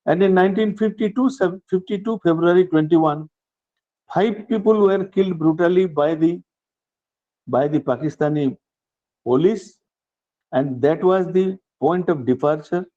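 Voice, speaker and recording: An older man with an Indian English accent giving an earnest, measured acceptance speech, often restarting his phrases. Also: sits high, phone-quality sound. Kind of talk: formal speech.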